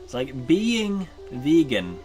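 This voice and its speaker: A man's voice: mid-range voice, huge pitch swings, takes it slowly, a little mellow, soft background music. A Norwegian man chatting casually while streaming a game, rambling with many ums and uhs and correcting himself. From Norway. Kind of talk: casual chat while gaming.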